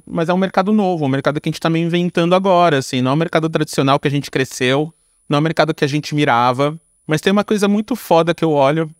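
A Brazilian man talks casually as an interview guest. His voice sits a little high for a man and is recorded very dry. He phrases things informally, with slang and frequent tag questions. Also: no room sound. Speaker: guest, screenwriter and filmmaker. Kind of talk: casual conversational interview answers.

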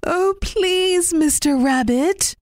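Woman doing a polite old woman, pleading high. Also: slow, no echo, clean studio sound.

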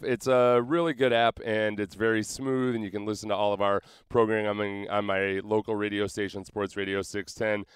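A man's voice: mid-range voice, clear, resonant tone, dry recording, clean studio sound. A man in his forties hosting a podcast, chatting casually as an interviewer. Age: 40s. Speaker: former professional football player. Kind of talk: casual chat.

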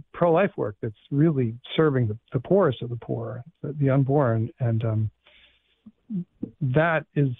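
Male priest radio guest, mid-pitched, measured, dry phone-line sound. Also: dry recording. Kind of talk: radio talk on faith. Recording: thin phone-quality line.

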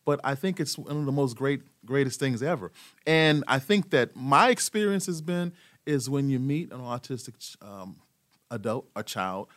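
A middle-aged man, a guest in an interview, talking about his son in a relaxed, rambling way with lots of fillers. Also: mid-range voice, normal speed, no room sound, clean studio sound. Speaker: guest, parent. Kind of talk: casual interview conversation.

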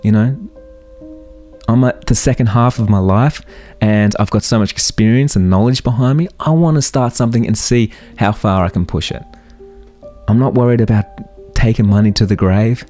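A man's voice: lowish voice, normal speed, mellow, soft background music. A man in his thirties hosting a solo motivational podcast, talking earnestly and firing off rhetorical questions. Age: 30s. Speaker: podcast host.

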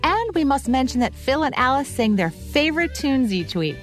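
A woman's voice: high-pitched, big pitch swings, moderate pace. American woman co-hosting radio over music. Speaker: radio show co-host. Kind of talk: scripted co-hosted commentary.

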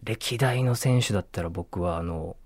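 A man's voice: deep voice, moderate pace, a little mellow, no echo, studio quality. A man in his thirties chatting casually as a radio sidekick, polite and deferential to the host. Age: 30s. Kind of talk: casual chat.

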